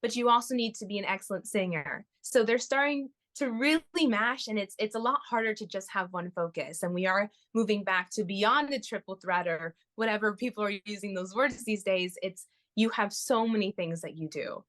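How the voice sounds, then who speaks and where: A woman's voice: mid-range voice, normal speed, few pauses, fairly bright tone. Chatty, upbeat young woman guest. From Florida, US. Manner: chatty and upbeat, laughs often. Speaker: guest, touring musical theater performer. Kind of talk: casual interview chat.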